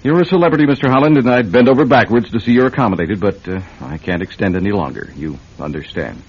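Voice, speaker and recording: A man's voice: fairly low pitch, fast, breathy edge. A man playing a courteous but firm casino manager in an old-time radio drama, hesitant and insinuating in manner. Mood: polite, firm. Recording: muffled, noisy old radio recording.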